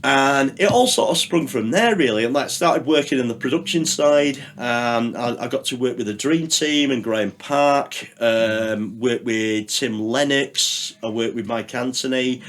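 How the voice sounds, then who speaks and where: A man's voice: mid-range voice, measured pace, few pauses. Rambling man, casual. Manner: rambling, anecdotal, swears, many fillers. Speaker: podcast guest, radio producer. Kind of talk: casual chat.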